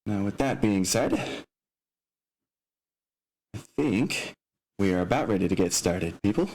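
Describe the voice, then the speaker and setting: Game master, male, low voice, casual and halting, explaining rules and maps to players. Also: expressive, darkish tone. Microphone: open microphone picking up room sounds.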